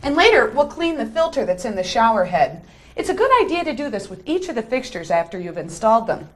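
Lively higher-voiced woman explaining installs. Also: fast talker. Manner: friendly, explains each step while working. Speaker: renovation crew member. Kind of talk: hands-on how-to instruction.

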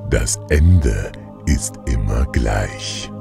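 A man's voice: very deep voice, animated, drawn-out words, vocal fry, music in the background, exaggerated voice. A man playing a sardonic, menacing god of the underworld in an audio drama, with dry sarcasm. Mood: dry, sarcastic. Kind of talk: German audio drama acting.

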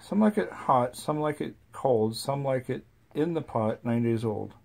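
Gravelly parent reading nursery rhymes. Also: mid-range voice, expressive, measured pace, darkish tone, a little hiss or hum. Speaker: parent reading to a young child. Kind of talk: reading nursery rhymes aloud, chatting with a small child.

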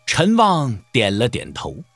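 A man's voice: medium pitch, huge pitch swings, slow, gravelly, fairly bright tone, no echo. A man narrating a martial-arts audio drama in a scripted, dramatic storytelling style. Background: background music.

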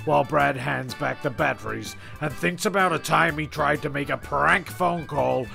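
A man's voice: on the high side, normal speed, creaky, sharp tone, faint music behind, full character voice. A man playing a flustered, exasperated narrator of a comic castaway audio serial, rambling and stumbling over his words.

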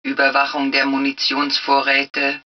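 Hazard suit computer, distant and muffled game audio, calm, impersonal, clipped automated announcements. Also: female voice, a bass voice, a little slow.

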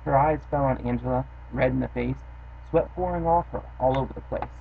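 Young adult male storytime host, casual chatter then a steady rhythmic read; gravelly voice, bedroom webcam with faint hiss. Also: medium pitch, fairly quick, narrow, tinny audio. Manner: casual, offhand chat with fillers, then steady rhythmic reading. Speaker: host reading children's poems. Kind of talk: reading a rhyming poem aloud. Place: bedroom, indoors. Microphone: built-in webcam mic.

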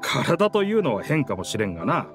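A man's voice: mid-range voice, big pitch swings, a little fast, over music, close to an everyday voice. A man voicing a proud talking pirate ship in a video game, with boastful, theatrical, old-fashioned speech. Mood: earnest, wistful. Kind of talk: Japanese video game character dialogue.

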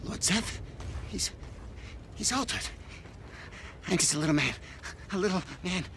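An actor playing a scruffy, suspicious male foot soldier in a video game, stammering and rough in his grammar. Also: high-pitched, animated, a little slow. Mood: fearful, awed. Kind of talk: video game cutscene acting. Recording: game sound with background noise.

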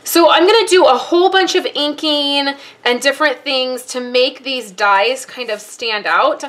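Bright-voiced woman teaching a craft. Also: high-pitched, unhurried. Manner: chatty, explains each step as she works. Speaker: card-making demonstrator. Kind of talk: casual step-by-step craft tutorial. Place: craft desk, indoors.

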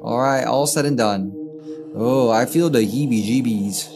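A performer voicing an easygoing, helpful teenage boyfriend in an amateur game roleplay, laid-back and drawn-out. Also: medium pitch, natural voice. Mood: uneasy, creeped out. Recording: background music under voice.

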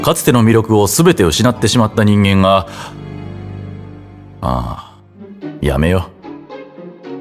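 A mysterious narrator for an audio drama. The voice sits fairly low and moves with lively inflection, knowing and teasing. Soft music plays behind it. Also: male voice. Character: mysterious, knowing male narrator who teases the listener. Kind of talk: scripted anime-style drama narration.

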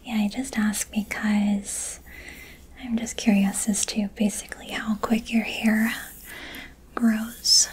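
A young woman whispering softly and gently asking questions as a barber in an ASMR haircut roleplay, recorded close to the mic in a small room. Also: mid-range voice, flat delivery, slow pace, slightly rough, low-quality recording. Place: small decorated indoor room. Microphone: close studio mic in front.

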